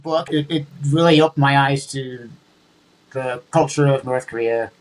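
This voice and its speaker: A man's voice: medium pitch, normal speed, low-quality recording. A man who speaks as a guest in a casual interview, hesitating and repeating words as he thinks. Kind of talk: conversational interview.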